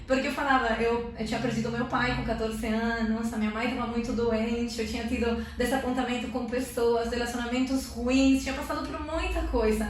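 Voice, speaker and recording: A woman's voice: fairly high pitch, a little fast, hardly any pauses, a little hiss or hum. Woman sharing an emotional church testimony. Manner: earnest, tag questions, lively. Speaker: interview guest giving testimony. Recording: echoey room, mic at a distance.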